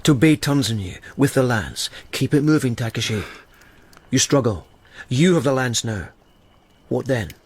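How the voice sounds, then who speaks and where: A man playing a steady friend in a radio drama, calm and probing, pressing with short questions. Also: medium pitch, normal speed, husky. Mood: insistent.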